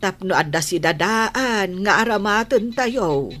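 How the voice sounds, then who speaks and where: A woman with an Ilocano accent playing a gentle, grateful older sister in a radio drama. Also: mid-range voice, animated, unhurried.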